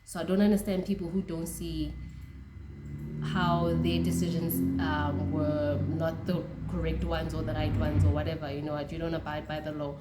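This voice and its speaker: A young South African woman whose first language is Tswana, chatting excitedly about rugby with frequent laughs and restarts. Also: low-pitched, normal speed, roomy sound, over loud music. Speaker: rugby fan vlogger. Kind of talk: casual reaction chat.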